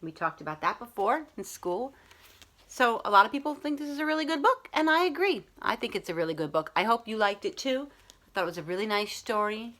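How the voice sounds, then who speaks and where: A woman's voice: on the high side, big pitch swings, fairly quick, fairly bright tone. A woman reading a picture book aloud as a school librarian, talking to young children in simple, explanatory phrasing.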